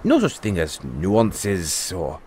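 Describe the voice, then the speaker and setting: A man playing a free-spirited, kindly dragon rider and mentor in an audio roleplay, musing and unhurried with pauses. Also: deep voice, wildly swooping pitch, a little mellow, a little hiss or hum, exaggerated voice. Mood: reflective.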